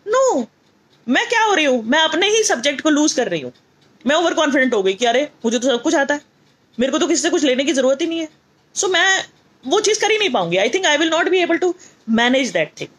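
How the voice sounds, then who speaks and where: A middle-aged woman with a North Indian accent speaks in a high voice as a motivational teacher. She mixes Hindi and English, repeats key phrases for emphasis and poses rhetorical questions to her learners. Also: big pitch swings, fairly quick, fairly bright tone.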